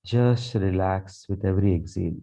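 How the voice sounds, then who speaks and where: A man guiding a meditation with affirmations in a slow, calm, soothing delivery with long pauses. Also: deep voice. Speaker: meditation guide, Reiki teacher. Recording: dull, muffled lo-fi sound.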